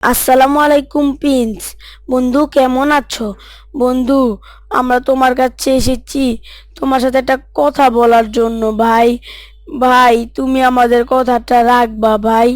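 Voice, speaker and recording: A woman's voice: high voice, level tone, unhurried, no echo. A woman voicing an earnest, pious, sorrowful schoolboy in a scripted moral story drama, pleading and preachy.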